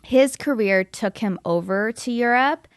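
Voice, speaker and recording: A woman's voice: on the high side, measured pace, no room sound, clean studio sound. A woman from Belgium telling personal stories as an interview guest, in an informal, chatty way sprinkled with "like". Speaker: guest, model and entrepreneur. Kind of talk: casual interview storytelling.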